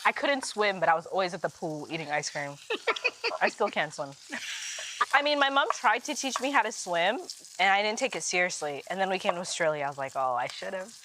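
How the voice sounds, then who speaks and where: A young woman from Uganda, a guest telling lively stories in a casual kitchen chat, laughing often. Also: lowish voice, a little fast, slight background noise, low-quality recording.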